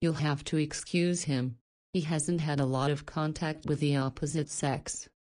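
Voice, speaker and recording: A woman acting a protective guardian in a visual novel. Her voice is notably low for a woman, and she sounds firm, caring and motherly. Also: fairly even intonation, unhurried, darkish tone. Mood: scolding, apologetic.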